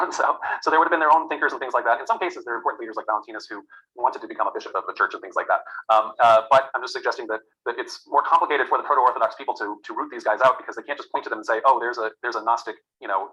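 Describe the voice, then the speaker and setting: Fast-talking male lecturer, bright and lo-fi. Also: mid-range voice. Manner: rambling, many fillers and restarts. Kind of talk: classroom lecture.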